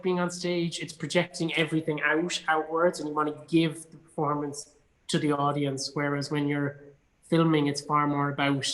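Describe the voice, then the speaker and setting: A man's voice: fairly high pitch, a bit bright, low-quality recording. A man from Cork with an Irish accent, an actor chatting casually and telling anecdotes as an interview guest. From Cork, Ireland.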